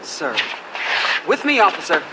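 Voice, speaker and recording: A woman's voice: fairly low pitch, big pitch swings, a little slow, very noisy. A woman playing a curt no-nonsense agent. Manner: curt, businesslike. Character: brisk female agent, efficient, unflappable.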